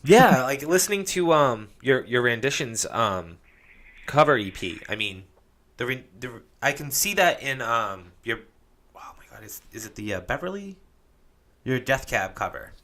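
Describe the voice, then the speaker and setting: A man's voice: mid-range voice, big pitch swings, moderate pace, fairly bright tone. A man hosting a casual interview, loose and enthusiastic with many fillers. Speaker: podcast host interviewer.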